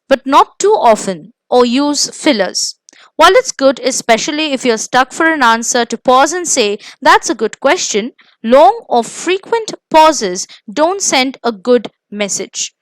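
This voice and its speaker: Indian-accented woman, high pitch, scripted lesson narration, measured emphasis, dry studio-like sound. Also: dry recording. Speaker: presenter of interview coaching lesson.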